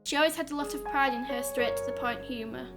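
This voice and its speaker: A woman reading a eulogy as narration, careful and even. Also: sits high, fast. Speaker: great-granddaughter reading a eulogy. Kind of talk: scripted narration. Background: music.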